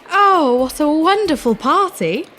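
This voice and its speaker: A woman's voice: high-pitched, very animated, a little slow, fairly bright tone, faint music behind, a faint crowd, full character voice, rough recording. A woman playing a sweet, earnest young pantomime heroine, wide-eyed in an audio play. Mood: delighted.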